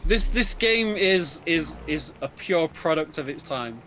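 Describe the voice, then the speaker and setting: A man's voice: a little high, animated, a little slow, fairly bright tone, a little hiss or hum. A man giving chatty, emphatic co-commentary on a video game speedrun, explaining it casually and repeating words for stress. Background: video game music.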